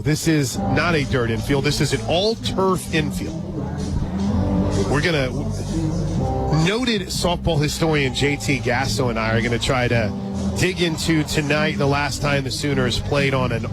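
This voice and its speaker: A man doing live radio softball play-by-play, dropping conversational asides between pitches. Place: radio booth at an outdoor ballpark. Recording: outdoor stadium crowd noise, loud music. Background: loud crowd and ballpark music.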